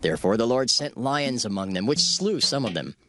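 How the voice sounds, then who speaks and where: A man giving solemn, emphatic, measured dramatic narration of scripture. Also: medium pitch, big pitch swings. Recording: loud music under the narration.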